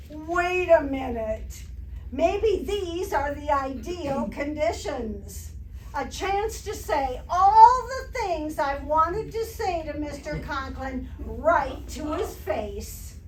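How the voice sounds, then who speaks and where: A woman's voice: high voice, lively intonation, a little mellow, exaggerated voice. A woman playing a wry, put-upon schoolteacher who narrates with dry sarcasm in an old-time radio comedy. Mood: dryly exasperated. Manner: deliberate, dryly sarcastic. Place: live stage performance. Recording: distant, echoey, slightly noisy stage recording.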